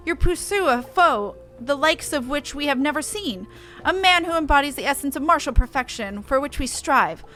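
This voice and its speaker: A woman acts out a proud young-blooded warrior woman of a fantasy game, hungry for battle. The voice is high, forceful and theatrical, with old-fashioned wording and music behind it. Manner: forceful, theatrical, archaic phrasing. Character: brash, proud female beastman warrior eager for battle. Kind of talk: reading game dialogue aloud.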